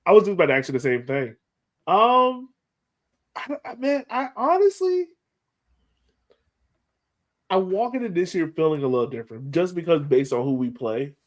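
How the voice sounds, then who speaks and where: A man's voice: on the high side, sing-song, moderate pace, pauses often. A man co-hosting a soccer podcast in casual chat, with a playful, folksy way of putting questions. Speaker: sports podcast co-host.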